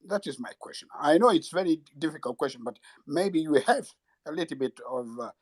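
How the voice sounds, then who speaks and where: Older male caller, husky, hesitant, animated pitch. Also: no echo. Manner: hesitant and halting, many fillers. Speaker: audience member asking a question.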